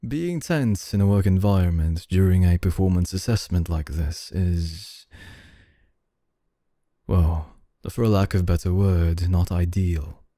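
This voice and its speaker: A man voicing a dark, low, unhurried young CEO. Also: no echo, clean studio sound. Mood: calm, authoritative. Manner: slow, deliberate, smooth formal courtesy, soft chuckles. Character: suave young male CEO, calm, possessive, quietly domineering. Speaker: voice actor. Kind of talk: ASMR audio roleplay.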